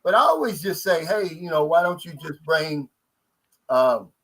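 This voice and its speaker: A middle-aged man hosts a live stream, chatting in a relaxed, folksy way. His pitch sits rather high for a man. He reads viewer questions aloud and answers them casually. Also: measured pace, rough recording. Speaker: host.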